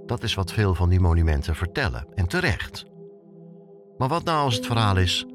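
A man hosting a podcast with scripted, story-led narration that addresses the listener directly. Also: on the low side. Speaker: podcast host.

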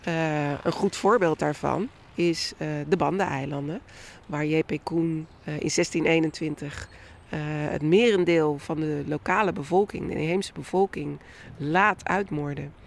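Creaky-voiced woman explaining informally. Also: sits low, fairly even intonation. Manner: halting, conversational. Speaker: history expert, interviewee.

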